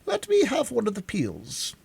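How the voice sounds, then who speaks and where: A reader voicing a pompous, learned male insect in a storybook, lecturing in a drawn-out way. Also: mid-range voice, huge pitch swings, creaky, a little mellow, breathy edge, pitched above the performer's own voice, heavily acted.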